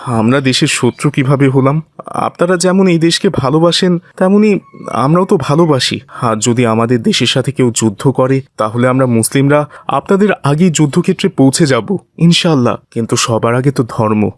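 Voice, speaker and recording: A devout cartoon imam voiced by a male actor, mid-pitched and dryly recorded. He reasons earnestly, polite yet firm and wronged. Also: animated, moderate pace, dry recording, natural voice. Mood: indignant, earnest. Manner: pleading, reasoning. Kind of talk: dubbed cartoon dialogue.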